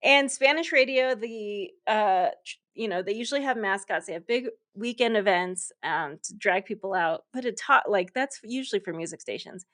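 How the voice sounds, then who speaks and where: A woman's voice: mid-range voice, lively intonation, moderate pace, a bit bright. A woman in her thirties, a podcast host being interviewed, talking casually with lots of fillers and frequent laughter. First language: English. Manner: chatty, laughs often, many fillers.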